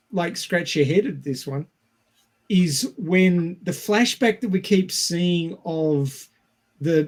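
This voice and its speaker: High-pitched, slow-talking man on a podcast. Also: darkish tone. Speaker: podcast co-host. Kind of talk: casual film discussion.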